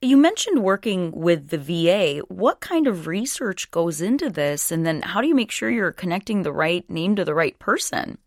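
Lowish, lively-voiced woman interviewer. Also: normal speed, few pauses, no echo, clean studio sound. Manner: attentive, frequent listening murmurs. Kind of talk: conversational radio interview.

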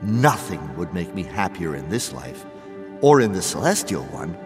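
A man's voice: low-pitched, big pitch swings, moderate pace. An actor playing a grandiose, sentimental dead father in a radio soap opera, speaking theatrically and savoring his words. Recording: long reverb with loud music behind.

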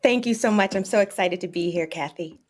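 A woman's voice: medium pitch, fairly quick, a little mellow, slightly rough. A woman with an American accent, giving answers as an interview guest. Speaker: interview guest, nonprofit executive director.

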